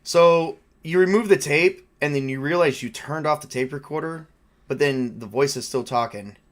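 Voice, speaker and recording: A man's voice: a little high, moderate pace. Man casually running a tabletop game. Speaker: game master and player.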